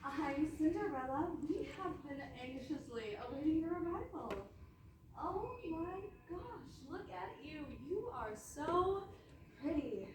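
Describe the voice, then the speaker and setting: A woman plays a high-pitched stage princess who gushes theatrically, with possessive menace under the sugar. Her delivery swings widely, heard from afar in a very echoey room. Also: lively intonation. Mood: gushing. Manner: theatrical, drawn-out gushing. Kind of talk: comic stage acting. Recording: very echoey hall, far mic, noisy.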